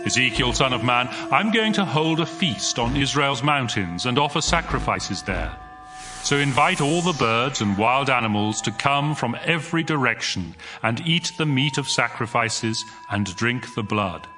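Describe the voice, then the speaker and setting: An actor playing a divine voice that solemnly commands a prophet in an audio scripture drama. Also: male voice, medium pitch, animated, normal speed, lightly acted. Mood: solemn, commanding. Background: music behind the voice.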